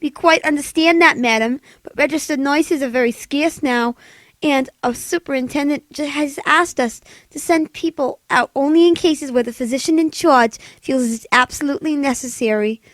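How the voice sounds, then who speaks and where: A woman's voice: high voice, moderate pace, no echo, lightly acted. An actress playing a polite, officious hospital switchboard clerk in a radio thriller, formal and detached. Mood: politely officious. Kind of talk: old-time radio thriller acting.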